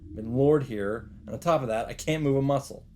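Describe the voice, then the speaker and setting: A man's voice: medium pitch, fairly quick, warm tone, a little hiss or hum. A man reading a visual novel aloud with dramatic delivery as a let's player, with faint game ambience behind him.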